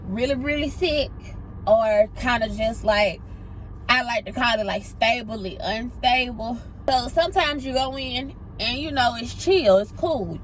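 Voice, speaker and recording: A woman's voice: fairly high pitch, a little hiss or hum, rough recording. A young woman, a pediatric nurse and vlogger, talking casually to her viewers with a stuffy, congested voice. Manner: chatty, drawling, addresses viewers as y'all. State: congested, sniffling. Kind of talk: casual vlog chat. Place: car and errands.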